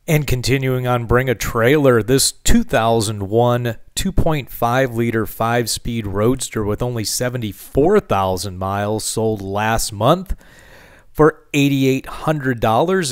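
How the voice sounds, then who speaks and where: Smooth-voiced man, relaxed car talk. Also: medium pitch, no echo, studio quality. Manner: relaxed, conversational, frequent "uh" fillers. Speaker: car channel host. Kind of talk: casual voice-over market review.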